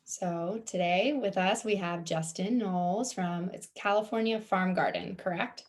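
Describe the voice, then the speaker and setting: A woman's voice: lowish voice, unhurried. A woman hosting a casual interview and putting questions to a guest. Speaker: interviewer, host.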